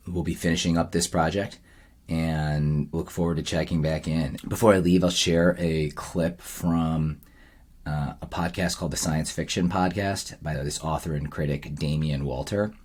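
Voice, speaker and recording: A man's voice: rumbling low, fairly even intonation, normal speed, darkish tone. A man giving a casual video diary update to viewers in a reflective, plain-spoken way. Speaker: vlogger running a storytelling camp for kids.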